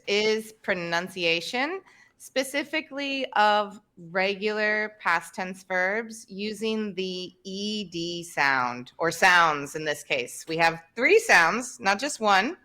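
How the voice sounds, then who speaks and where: Us lady in her 30s, slow clear teacher talk, bright tone, webcam call. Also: medium pitch, lively intonation. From Tennessee, United States. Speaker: podcast host and English teacher. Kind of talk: casual teaching chat. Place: home room, indoors. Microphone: video call, webcam.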